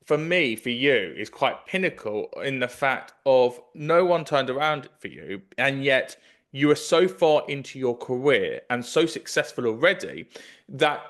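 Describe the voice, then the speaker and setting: A man in his thirties hosts a podcast at a mid-range pitch. He talks conversationally into a desk microphone on a video call, setting up his questions at length. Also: lively intonation, unhurried, a bit bright. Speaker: podcast host. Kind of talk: conversational interviewing. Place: indoors in a kitchen, on a video call. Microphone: desk microphone with headphones.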